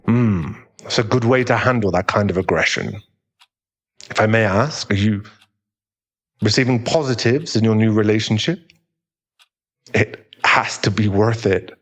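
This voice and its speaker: A man playing a calm, frank professional therapist in an audio drama, speaking in a measured, formal, tactful way. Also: on the low side, pitched below the performer's own voice. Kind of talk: dramatic audio roleplay acting.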